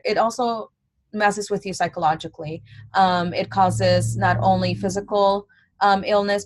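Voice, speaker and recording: A woman's voice: fairly low pitch, no echo. A young adult woman giving a college lecture in a conversational way, with many fillers and restarts.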